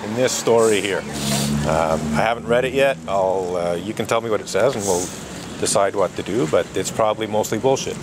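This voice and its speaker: A man protesting outdoors, talking in an animated, indignant way and laughing often. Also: lowish voice, moderate pace. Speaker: street protester. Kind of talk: casual outdoor conversation. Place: outdoors on a city street. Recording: outdoor traffic noise.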